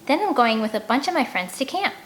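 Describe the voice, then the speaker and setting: A woman's voice: high voice, very animated, a little fast, a bit bright, pitched above the performer's own voice. A woman voicing an upbeat young girl scout in an audiobook, eager and lilting as she chats with friends. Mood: eager, curious.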